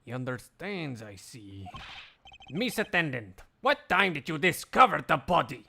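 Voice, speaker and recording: A haughty European gentleman from a mystery game. The voice is creaky and bright, swinging widely in pitch. He is fussy and impatient, pronouncing things pompously in halting foreign phrases. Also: big pitch swings, a little hiss or hum, heavily acted. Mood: insistent. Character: haughty, impatient European male witness, easily irritated.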